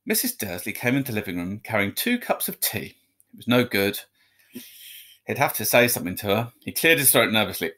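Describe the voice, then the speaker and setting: A man reading a children's story aloud like a teacher, in expressive narration. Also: fairly low pitch, brisk.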